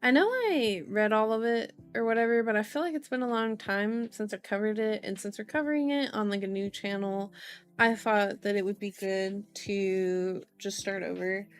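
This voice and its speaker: A woman's voice: mid-range voice, moderate pace, smooth, faint music behind. A sleepy woman hosting an ASMR stream, chatting casually to viewers in a cutesy, playful, rambling way.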